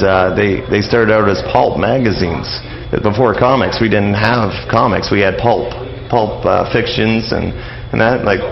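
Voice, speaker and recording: A man's voice: sits low, creaky. A man speaking as a guest in a casual radio interview, explaining things in an informal, rambling way. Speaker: guest interviewee, old-time radio enthusiast. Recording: dull lo-fi radio audio, crowd murmur.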